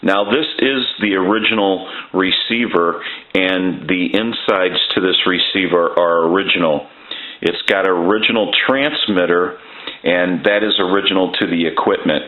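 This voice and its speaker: A man walking through a telephone repair in his workshop, explaining each step plainly and pausing often with "uh". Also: low-pitched, echoey room, phone-quality sound.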